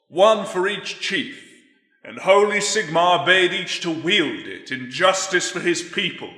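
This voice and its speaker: A man's voice: on the high side, bright, forceful tone. A man giving grand, solemn, theatrical scripted narration of fantasy lore. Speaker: voice actor, narrator. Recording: long hall reverb, music behind.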